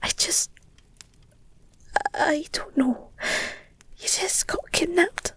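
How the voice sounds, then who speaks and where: A woman playing a devoted, guilt-ridden werewolf girlfriend in an intimate audio roleplay, speaking earnestly and haltingly in a breathy whisper. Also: very high voice, a bit bright, full character voice. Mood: anguished, protective. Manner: hesitant, halting, earnest, tender.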